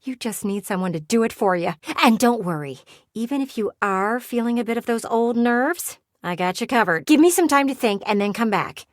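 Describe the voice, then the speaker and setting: A woman's voice: fairly high pitch, animated, normal speed, dry recording, studio quality, natural voice. A woman voicing a video game character, a talking house window who is bossy and theatrical, sweetly condescending and teasing. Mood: brisk, eager.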